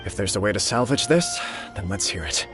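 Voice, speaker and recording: An actor playing a gentle, devoted young noble in a video game, with a polite, sincere and formal manner. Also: male voice, fairly low pitch, lively intonation, fairly quick, music in the background, lightly acted. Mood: resolved.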